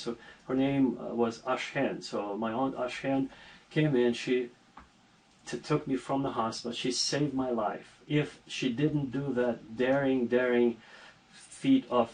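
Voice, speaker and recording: A man's voice: medium pitch, darkish tone, far from the mic, rough recording. Earnest rambling man, set back from the mic. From Armenia. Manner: rambling, earnest, with many restarts. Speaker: interview guest. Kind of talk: casual video-call conversation.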